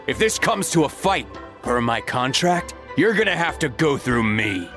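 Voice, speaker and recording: An actor playing a haughty, money-minded mercenary debt collector in a video game, speaking in declamations. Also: male voice, mid-range voice, sing-song, a little slow, music in the background. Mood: haughty, confident.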